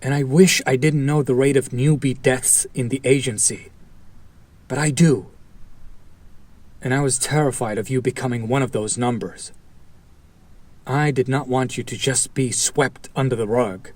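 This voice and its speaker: A man playing a bitter, protective fallen hero turned villain in a dramatic audio roleplay, speaking in a deliberate, sardonic, theatrical way. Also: medium pitch, fairly even intonation, darkish tone, natural voice. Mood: bitter, impassioned. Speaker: voice actor. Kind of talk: dramatic audio roleplay monologue addressed to listener.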